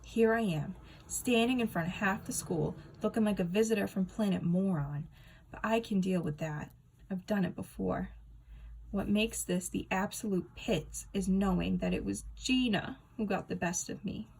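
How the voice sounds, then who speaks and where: A woman reading a children's book aloud as a storyteller, with expressive, dramatic and emphatic delivery. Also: medium pitch, normal speed, vocal fry, breathy edge.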